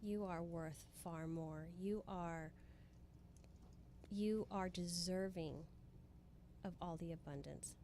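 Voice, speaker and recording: A woman's voice: on the low side, slow pace, long pauses, darkish tone, a little hiss or hum. A woman from Hawaii giving earnest, emphatic motivational explanations as a guest in a conversational interview. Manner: earnest, emphatic, speaks in repeated phrases. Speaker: spiritual guest being interviewed.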